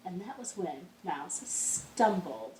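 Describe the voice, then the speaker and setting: Slow-paced woman storyteller in an echoey room. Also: lowish voice, slight background noise. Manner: expressive, drawn-out phrasing with gasps and direct questions to young listeners. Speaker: storytime host for young children. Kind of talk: read-aloud storytelling for children. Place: indoors, in a living room. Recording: roomy, echoey home room sound.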